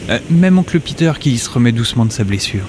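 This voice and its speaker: A man's voice: mid-range voice, very animated, fast, noisy background, natural voice. A man playing an upbeat young hero who tells his own adventure in a casual audio drama, chatting directly to listeners. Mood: warm, reflective. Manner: conversational, addresses listeners directly.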